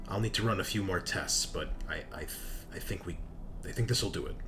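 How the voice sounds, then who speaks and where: A man voicing a slightly crazy scientist in a tabletop game, hesitant and preoccupied, with halting, trailing speech. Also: low voice, music in the background, pitched below the performer's own voice. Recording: clearly noisy tabletop session recording.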